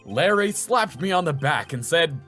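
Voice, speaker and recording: A man's voice: fairly high pitch, sing-song, moderate pace, a bit bright, faint music behind. A man as an earnest, nervous rookie lawyer. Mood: reflective.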